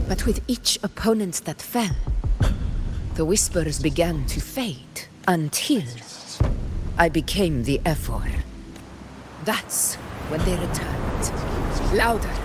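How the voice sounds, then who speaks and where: An actress playing a proud, burdened warrior ruler in a video game, speaking in a solemn, measured, commanding way. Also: female voice, mid-range voice. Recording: music playing loudly over the voice.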